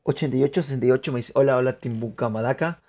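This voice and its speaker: A young man from Peru, chatting excitably to viewers through live gameplay commentary. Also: mid-range voice, racing. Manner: chatty, excitable, laughs often, addresses viewers. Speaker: streamer.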